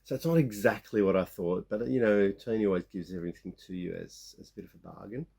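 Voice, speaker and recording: A man in his fifties with a croaky sore-throat voice, rambling casually as he shows off his toy finds. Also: low-pitched, fast, warm tone. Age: 50s. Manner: rambling, many restarts and fillers. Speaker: toy collecting vlogger. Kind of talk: casual show-and-tell of toy haul.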